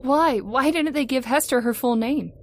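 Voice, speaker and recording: A woman's voice: high voice, moderate pace, a little hiss or hum. A woman playing a troubled, entranced wife in an old-time radio horror drama, earnest and dramatic. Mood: urgent curiosity. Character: troubled, entranced young wife drawn to the graveyard. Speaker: voice actor.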